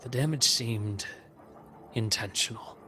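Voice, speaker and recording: Calm, steadfast man, voiced by an actor, music behind. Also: medium pitch, close to an everyday voice. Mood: grave. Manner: measured, reassuring, earnest. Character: calm, steadfast male companion consoling an old friend. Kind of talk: video game cutscene voice acting. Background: background music.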